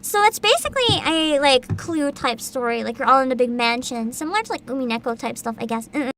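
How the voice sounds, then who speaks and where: High, lively, giggly streamer woman. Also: a little fast, slight background noise. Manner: giggly, chatty, reacts to chat, reads descriptions aloud. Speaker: VTuber streamer. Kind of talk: casual livestream chat.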